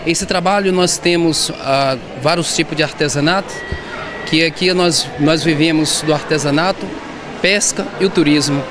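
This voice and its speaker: A man, being interviewed as an artisan. He speaks haltingly and often repeats or restarts words. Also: a little high. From southern Bahia, Brazil. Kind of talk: street interview. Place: indoor shopping mall. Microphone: handheld reporter mic. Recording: noisy mall background.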